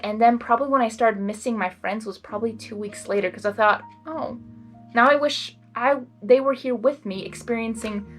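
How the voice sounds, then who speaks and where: A woman reads a story aloud and adds expressive, rambling casual reactions. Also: medium pitch. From Hungary. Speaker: fan fiction reader and commentator. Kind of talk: blind reading with casual reaction commentary.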